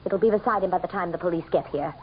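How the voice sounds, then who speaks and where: An actress playing a haughty, desperate wife with a dramatic, scornful intensity in a radio mystery drama. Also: female voice, fairly low pitch, rapid-fire, slight background noise, lightly acted. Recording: dull old radio broadcast.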